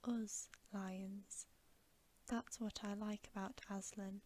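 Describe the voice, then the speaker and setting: A woman plays an eager storybook lion, bursting with pride. The beast gushes and repeats himself in a soft whisper, still slow and mellow. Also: mid-range voice, little pitch movement, a little hiss or hum, lightly acted. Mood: thrilled, proud. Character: eager, proud, simple-hearted male lion follower. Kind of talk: whispered ASMR storybook reading.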